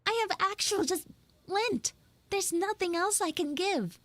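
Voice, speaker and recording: A woman's voice: very high voice, expressive, measured pace, no room sound, voice pushed up. A young woman playing a flustered, pleading tsundere schoolgirl in a live roleplay, rambling and stammering dramatically. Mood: pleading, bargaining. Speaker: live audio roleplay streamer. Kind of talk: improvised live character roleplay.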